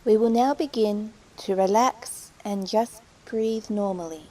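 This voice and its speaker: A woman leading a guided meditation, giving soothing, unhurried instructions with pauses between phrases. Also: mid-range voice, dark tone, rough recording.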